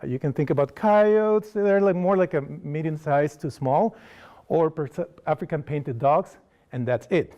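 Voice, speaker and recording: Costa rican guy, spanish accent, bit high voice, chatty public talk w lots of restarts. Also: normal speed, mellow. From Costa Rica. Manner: conversational, asks the audience questions, frequent restarts. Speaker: wildlife sanctuary educator giving a public talk. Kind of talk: public lecture to an audience. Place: lecture hall.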